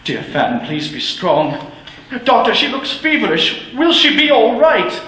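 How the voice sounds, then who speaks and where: An actor playing an elderly miser in a radio drama, stammering and swinging between hushed remorse and giddy delight. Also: male voice, very high voice, huge pitch swings, measured pace, full character voice. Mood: anxious. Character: elderly miser man, shaken and regretful. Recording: echoey, faint hiss.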